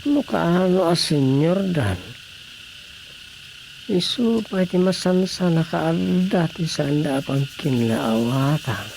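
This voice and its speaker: A man's voice: a little high, lively intonation, slow pace, gravelly, darkish tone, a little hiss or hum, heavily acted, rough recording. A man playing an easygoing, daydreaming husband in a Filipino radio drama comedy, muttering with a Filipino accent. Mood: drowsy musing.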